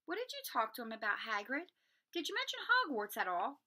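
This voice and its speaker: A woman's voice: sits high, wildly swooping pitch, a little fast, bright, forceful tone, voice pushed up, exaggerated voice. A woman playing an anxious schoolboy wizard in a storybook, speaking with insistent, earnest urgency. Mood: pressing.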